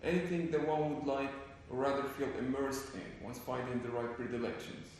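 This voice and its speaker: A man's voice: medium pitch, steady tone, brisk. Young man in an echoey hall. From Algeria. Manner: formal, wordy phrasing. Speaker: documentary host and interviewer. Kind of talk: documentary narration and interview. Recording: echoey hall with faint noise.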